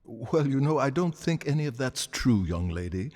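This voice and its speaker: An awkward man trying to placate someone in a TV drama. His voice is husky and swings freely in pitch, polite but hesitant. It rings in a long reverberant space. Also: sing-song, normal speed, darkish tone. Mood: gently coaxing. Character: awkward, gently coaxing man humouring a young lady. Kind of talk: TV drama acting.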